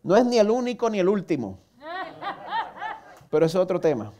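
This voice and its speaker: A man's voice: sits high, very animated, brisk, dull audio, crowd noise. A man with a Puerto Rican accent, preaching a sermon in an earnest way and putting questions straight to the listener. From Puerto Rico. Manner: self-mocking humor. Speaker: pastor.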